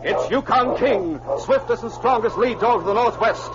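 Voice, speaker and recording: A man announcing an old-time radio serial and its sponsor pitches in a dramatic, punchy, hearty way. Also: moderate pace, noisy background. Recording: dull lo-fi vintage radio recording.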